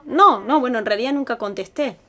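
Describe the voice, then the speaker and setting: Una actriz con acento rioplatense interpreta a una madre y esposa de mediana edad, apurada y dada al reproche, con actuación naturalista de ficción sonora. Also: female voice, a little high, expressive, a little fast, sharp tone. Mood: casual, evasive. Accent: Rioplatense Argentine. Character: harried, reproachful mother and wife of a busy household. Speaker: actress in an audio fiction. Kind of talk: naturalistic audio drama acting.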